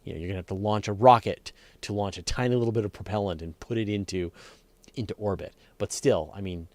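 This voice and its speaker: Deep-voiced man explaining science, dry close sound. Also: fast talker, a little mellow, dry recording. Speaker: host of a live space question-and-answer show. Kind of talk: casual off-the-cuff explanation of science questions.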